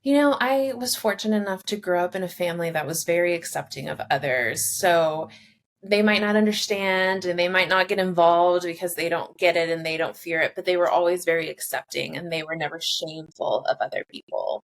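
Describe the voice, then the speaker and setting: Low-voiced woman with a gravelly edge. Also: fairly quick. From Mexico. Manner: earnest, reflective, frequent "like" and "you know". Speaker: therapist guest. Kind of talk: conversational interview answers.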